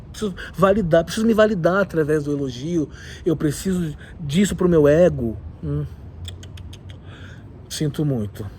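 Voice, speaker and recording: Guy with a cold ranting casually on a rooftop, kinda high voice, faint hiss behind. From southern Brazil. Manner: animated, opinionated, frequent asides. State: has a cold. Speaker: video host. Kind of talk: casual vlog commentary. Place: rooftop leisure area, São Paulo.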